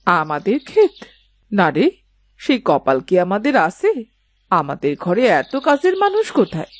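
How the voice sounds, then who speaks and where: A woman as a carping older mother-in-law, very animated. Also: medium pitch, normal speed, no room sound, clean studio sound. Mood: complaining. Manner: carping, bossy, self-pitying. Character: scolding, complaining older village mother-in-law. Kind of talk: dramatised cartoon dialogue.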